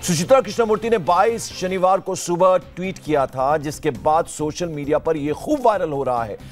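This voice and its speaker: Male TV news presenter, higher-register, pressing delivery, light music bed behind. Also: animated, moderate pace. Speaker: television news anchor. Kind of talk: live news presenting and interviewing.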